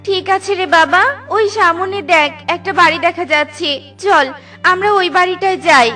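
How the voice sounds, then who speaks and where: A woman's voice: extremely high pitch, moderate pace, pushed and bright. A woman voicing a poor widowed mother bird, pleading and tender with her children. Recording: cartoon with music behind.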